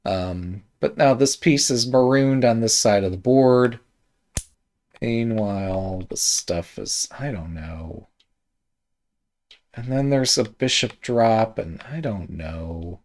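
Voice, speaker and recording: A man reviewing a board game, thinking aloud in a hesitant, musing way with many pauses and trailing thoughts, with a slight Midwest American accent. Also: on the low side, lively intonation, a little mellow. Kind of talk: casual game commentary while thinking aloud.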